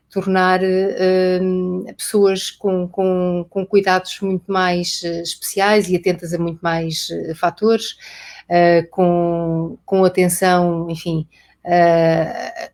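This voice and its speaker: Low, flat-voiced Portuguese woman guest. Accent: European Portuguese. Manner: hesitant, many fillers and repeated words. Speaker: company CEO, guest. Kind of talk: live online interview. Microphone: video call.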